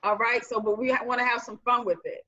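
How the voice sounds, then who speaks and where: A woman's voice: fairly high pitch, steady tone, fast, sharp tone, muffled recording. A woman hosting a discussion with married couples, speaking earnestly and guiding them with questions. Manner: earnest, reflective, leads with questions. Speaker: host.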